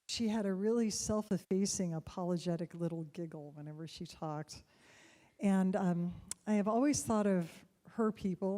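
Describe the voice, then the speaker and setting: A middle-aged woman with a mellow, dark-toned voice speaks into a stage microphone before an audience. She tells a personal story in an unhurried, conversational way, dotted with soft laughs. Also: medium pitch. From United States.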